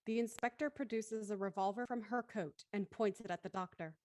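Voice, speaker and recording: A woman's voice: mid-range voice, fairly quick, darkish tone, dry recording, lightly acted. A woman narrating an audio thriller in scripted present-tense prose.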